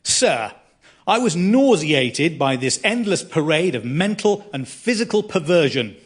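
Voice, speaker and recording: A man's voice: a little high, sing-song, unhurried, breathy edge, heavily acted. A man reading aloud as a censorious, affronted respectable lady writing an indignant letter, live on stage. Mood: prim indignation. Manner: indignant, prim. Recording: live theatre with audience behind.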